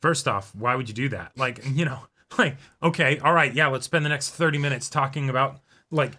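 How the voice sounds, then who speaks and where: Bright-voiced man, thinking aloud. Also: mid-range voice, fairly quick. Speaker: co-host of an anime reaction show. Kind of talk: casual conversational discussion.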